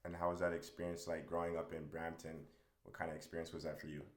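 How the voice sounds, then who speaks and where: Casting: male interviewer, low voice, quick delivery, brisk follow-ups, echoey room. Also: flat delivery. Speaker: interviewer host. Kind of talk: interview questions. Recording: roomy echo.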